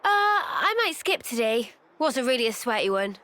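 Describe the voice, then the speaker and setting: A woman playing a reserved, gentle young woman in a video game cutscene, speaking in a hesitant, understated way. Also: a little high, big pitch swings, normal speed.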